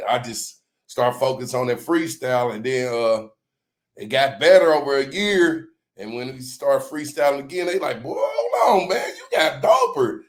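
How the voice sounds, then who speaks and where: A man being interviewed as a music promoter, telling his story in relaxed, slang-filled casual talk. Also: mid-range voice. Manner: laid-back slang-heavy storytelling.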